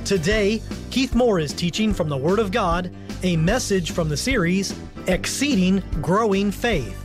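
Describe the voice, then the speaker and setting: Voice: medium pitch, animated, a little slow, over music. An announcer reading a scripted introduction for a religious radio broadcast.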